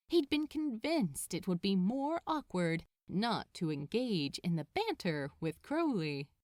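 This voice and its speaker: A woman narrates a story with lively, varied intonation. Her pace is slow and measured, and the recording is close and dry with little room sound. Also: mid-range voice, no echo. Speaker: fan fiction narrator.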